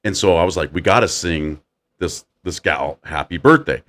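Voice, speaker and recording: A man with a deep voice hosting a casual chat, animated as he tells stories and acts out quotes. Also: moderate pace. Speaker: podcast host.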